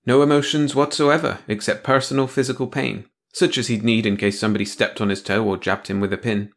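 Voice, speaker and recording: Tired bitter official guy, dry and kinda low-voiced, reader doing him. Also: steady tone, fairly quick, close to an everyday voice. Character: weary cynical male official, hard-edged.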